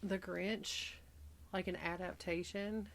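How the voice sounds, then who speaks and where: A woman's voice: lowish voice, steady tone. Chatty woman podcast co-host. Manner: interjects with quick, curious comments. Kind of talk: casual chat.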